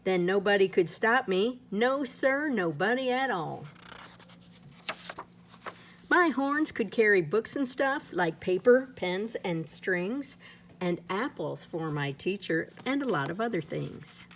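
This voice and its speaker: A woman reading a rhyming children's picture book aloud in a playful, lilting way, her pitch swinging up and down. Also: animated, slow pace, a little hiss or hum. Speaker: storybook reader.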